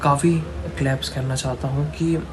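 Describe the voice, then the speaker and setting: A man with an Indian accent reviewing a film off the cuff as a channel host, halting and repeating himself, dropping English phrases into Hindi. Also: mid-range voice, fairly even intonation. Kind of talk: unscripted movie review to camera. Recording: clearly noisy, ambient background noise.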